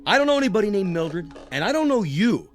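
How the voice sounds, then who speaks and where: Radio drama bit part: crusty indignant older homeowner, highish voice, swooping delivery, music bed. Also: male voice, very animated, fast. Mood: indignant, threatening.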